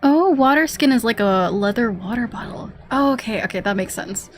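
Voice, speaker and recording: A woman's voice: fairly high pitch, animated, normal speed. A woman streaming a game, reacting casually and informally with a giggly manner. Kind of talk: casual gameplay reaction commentary. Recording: loud game music behind. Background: game music.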